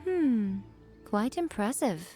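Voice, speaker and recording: A woman's voice: fairly high pitch, very animated, warm tone, soft background music. Poised, sly noble lady. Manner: elegant, measured, coy. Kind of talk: video game voice acting.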